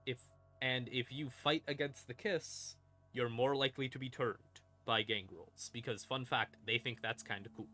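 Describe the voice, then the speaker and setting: A man hosting a stream and explaining game lore in a casual monologue, with theatrical, deliberate pauses between short phrases. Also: mid-range voice, fairly even intonation, sharp tone. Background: ambient music in the background.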